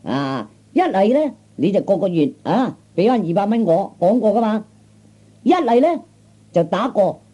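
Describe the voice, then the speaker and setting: A man's voice: high voice, big pitch swings, moderate pace, dark tone. A man playing a cocky, blustering young street tough with a Cantonese accent, in old radio comedy style. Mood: threatening. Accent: Cantonese, Hong Kong or Guangdong. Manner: blustering, taunting, stammering interjections. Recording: dull old 1960s comedy record.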